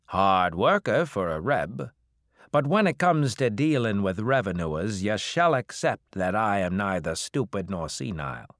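A man voicing a gruff, shrewd old farmer who speaks in slow, emphatic rural dialect. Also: fairly low pitch, big pitch swings, fairly bright tone, no echo, clean studio sound, voice pushed up, heavily acted. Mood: stern.

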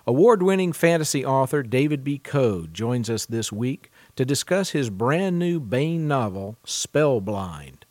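A man's voice: mid-range voice, no room sound. Man hosting a podcast, dry close sound. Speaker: podcast host, contributing editor. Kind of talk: scripted podcast introduction and news reading, then interviewing.

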